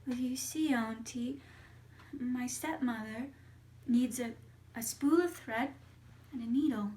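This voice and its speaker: A woman's voice: fairly high pitch, takes it slowly, mellow. A woman voicing a polite, frightened little girl in a fairy tale, hesitant and repeating herself. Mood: polite, timid.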